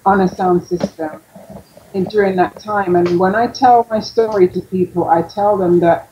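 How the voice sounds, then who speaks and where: A woman's voice: medium pitch, steady tone, normal speed, lo-fi sound, slight background noise. A middle-aged woman casually telling her story as a guest on a talk show. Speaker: guest commenter, former sound system DJ.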